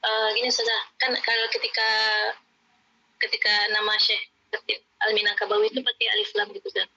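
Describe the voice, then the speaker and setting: A woman's voice: medium pitch, little pitch movement, fairly quick, bright, forceful tone, muffled recording. A woman student, asking a long searching question in an online class.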